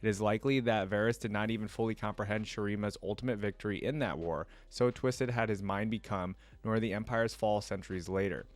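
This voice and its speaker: A man hosting a reaction video, reading aloud and pausing to comment and recap casually. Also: on the low side, steady tone, no room sound. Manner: pauses to react and recap in plain words.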